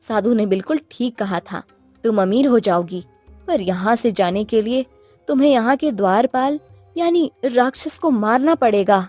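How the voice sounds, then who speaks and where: Woman acting an assured queen, fairly high voice. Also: moderate pace, darkish tone, dry recording, soft background music, natural voice. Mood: assured, commanding. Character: assured magical queen freed from a statue. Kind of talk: cartoon moral story acting.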